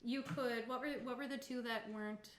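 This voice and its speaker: A woman's voice: on the high side, steady tone, normal speed. A woman running a tabletop roleplaying game as game master, narrating casually and encouraging the players. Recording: roomy echo, faint background noise.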